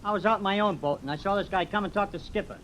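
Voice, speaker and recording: A man's voice: high voice, racing, a bit bright. A man playing a cocky, evasive young loafer with an American accent in a 1940s radio drama. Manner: slangy, defensive, evasive. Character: cocky, shifty young loafer in his twenties. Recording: noisy old radio drama recording.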